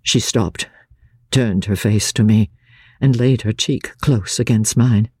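An older woman narrating classic literature as a diary, in a measured, grave and expressive dramatic reading. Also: dry recording, studio quality. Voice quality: low-pitched, husky. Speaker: audiobook narrator, radio presenter.

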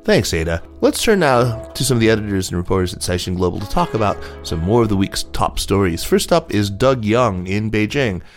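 A man's voice: on the low side, sing-song, a little fast, few pauses, soft background music. A man hosting a news podcast, reading business stories and introducing guests in a presenter's style. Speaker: podcast host and news reader.

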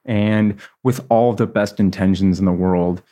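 A man's voice: on the low side, fairly even intonation, a little slow, warm tone. A man from Texas, an interview guest telling his story in an earnest, friendly, thoughtful way. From Texas, USA. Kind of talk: conversational interview.

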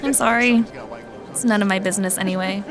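An actress playing a courteous, careful coin appraiser who explains things politely and in measured phrases in an audio drama. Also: female voice, medium pitch, steady tone, music in the background, natural voice. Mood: polite, explaining.

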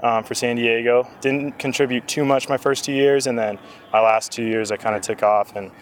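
A young man answering interview questions calmly and politely. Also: mid-range voice, moderate pace. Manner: calm, polite. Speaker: interviewed football player.

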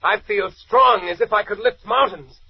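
An actor playing a megalomaniac super-villain with a husky, rough voice in a 1940s radio serial. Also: male voice, sits high, moderate pace, exaggerated voice. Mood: exultant, menacing. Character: reviving male super-villain, megalomaniac with atomic power. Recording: dull old radio recording.

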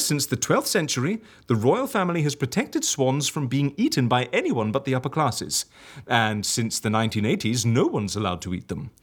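A man playing a posh, sentimental and excitable agency boss in a comedy audio drama, with a theatrical, effusive manner. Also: medium pitch, slightly rough. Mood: lofty, enraptured.

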